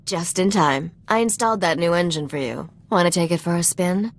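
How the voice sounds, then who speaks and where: A woman's voice: lowish voice, brisk. A woman playing a sly, self-assured treasure hunter and ship mechanic in a video game, dry and teasing. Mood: wry, confident. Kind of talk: video game cutscene acting.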